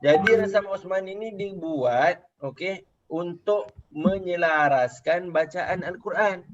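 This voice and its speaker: Malaysian guy teaching kids online, fairly high voice, keeps asking if they get it, muffled call audio. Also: takes it slowly, slight background noise. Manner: patient, repeats questions, checks understanding. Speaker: religious teacher. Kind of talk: online class teaching children. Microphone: video call.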